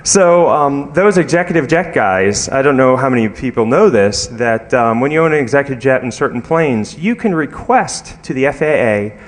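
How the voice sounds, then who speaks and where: A man speaking as a conference presenter, giving an informal technical talk in a conversational, halting way with many ums and asides. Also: mid-range voice, lively intonation. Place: large conference hall, addressing an audience. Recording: reverberant hall recording.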